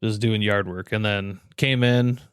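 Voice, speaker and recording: A man's voice: lowish voice, fairly even intonation, a little slow, fairly bright tone, clean tone, no echo. Laid-back American man in dry-sounding chat. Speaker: podcast co-host. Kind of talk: casual chat.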